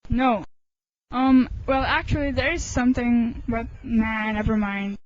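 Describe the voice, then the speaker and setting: A woman's voice: on the high side, steady tone, unhurried, a crowd in the background, lightly acted. A young woman playing a brusque, evasive hospital doctor in a student radio drama. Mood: curt, matter-of-fact. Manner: brusque, dismissive. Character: curt, evasive, irritable hospital eye doctor. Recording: muffled amateur recording, faint noise.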